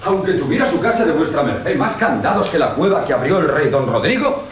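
Highish lively voice, jealous haughty suitor, classical radio comedy, roomy sound. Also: male voice, fairly quick. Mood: irritated. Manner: haughty, complaining. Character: hot-tempered, jealous, grudgingly generous male lover. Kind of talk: Spanish classical comic radio theatre acting. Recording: roomy, hissy 1980 radio broadcast.

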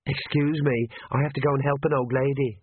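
Guy doing a shy flustered young woman, mumbly and hesitant, lifted pitch, audiobook on a tinny lo-fi recording. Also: fairly even intonation, fairly quick, a little hiss or hum, natural voice. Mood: polite, resigned. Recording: lo-fi, narrow phone-like sound.

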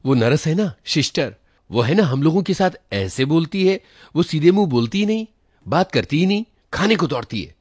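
Guy doing humiliated village dad in a story, agitated and insistent, rustic talk, voice pushed up high. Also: very animated, moderate pace, darkish tone, no room sound. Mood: indignant. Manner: agitated, colloquial, insistent, plain rustic speech. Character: aggrieved, humiliated village man, a new father, complaining.

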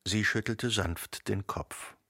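A man reading literary narration for a radio drama in a measured storytelling manner. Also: lowish voice, gravelly, pushed and bright, slightly rough, dry recording, clean studio sound. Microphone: close studio microphone.

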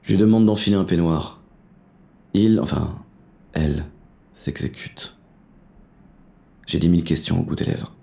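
An actor playing a hard-boiled, wary police inspector who narrates a noir audio drama in a gritty, weary manner. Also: male voice, sits low, unhurried, vocal fry, long pauses, warm tone. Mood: puzzled, brooding.

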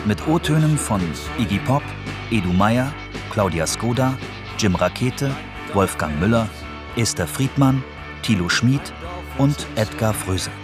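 A man's voice: on the low side, lively intonation, a little slow. A man reading scripted narration for a radio documentary. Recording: music playing under the voice. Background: music with guitar.

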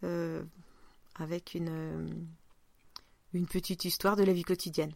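A woman's voice: on the low side, little pitch movement, unhurried, creaky, darkish tone, no echo. A woman co-hosting a podcast in casual chat, informal and often searching for her words. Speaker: podcast co-host.

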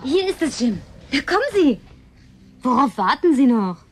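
A woman's voice: sits high, sing-song, moderate pace, slight background noise. An actress playing a self-assured, flirtatious woman who likes a drink, in natural German radio play dialogue with a breezy, teasing, commanding manner.

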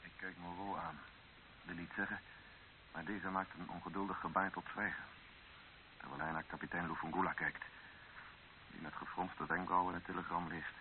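Low-voiced troubled journalist, muffled old recording. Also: male voice, level tone, moderate pace, pauses often. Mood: grave, restrained. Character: male journalist, anxious narrator of his own ordeal. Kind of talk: radio drama narration and dialogue. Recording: dull narrow-band old radio recording with hiss.

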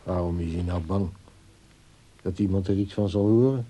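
Very dark-toned, disapproving man. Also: low voice, moderate pace. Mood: puzzled. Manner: questioning, disdainful. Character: puzzled, prim, disapproving man discussing an old man. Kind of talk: naturalistic radio drama acting.

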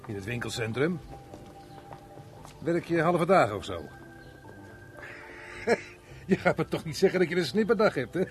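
A man's voice: fairly high pitch, sing-song, normal speed, pauses often, soft background music. A man acting in a Dutch radio play as a jovial, wry, middle-aged unemployed chatterbox who jokes with his neighbour. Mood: chatty, wry. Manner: chatty, joking, chuckling, wry.

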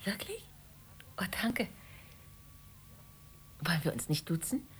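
An actress playing a flustered, anxious neighbour in a radio play, apologetic and effusively polite. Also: female voice, fairly low pitch, big pitch swings, slow pace, slight background noise. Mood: polite, eager. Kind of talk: German radio play acting.

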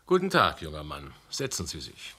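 An actor playing a brusque, sardonic middle-aged police commissioner in a German radio play, speaking in a commanding, deliberate way. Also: male voice, a bass voice, huge pitch swings, sharp tone, slight background noise, heavily acted. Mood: probing, sardonic. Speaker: police commissioner questioning witnesses.

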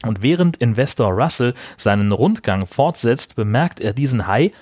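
A man's voice: medium pitch, lively intonation, normal speed, darkish tone, husky, no echo, clean studio sound. A man co-hosting a podcast, reading a scripted retelling of a film. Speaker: podcast co-host. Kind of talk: scripted film recap narration.

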